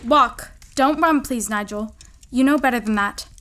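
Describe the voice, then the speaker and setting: A woman's voice: a little high, measured pace, fairly bright tone, a little hiss or hum. A female performer playing a prim, bossy young daughter in a period audio drama, proper and clipped in manner. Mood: bossy, concerned.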